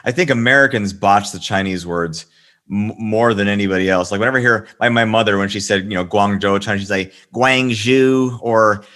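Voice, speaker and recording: A man hosting a casual interview, asking halting questions that repeat words. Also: lowish voice, a bit bright. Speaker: host.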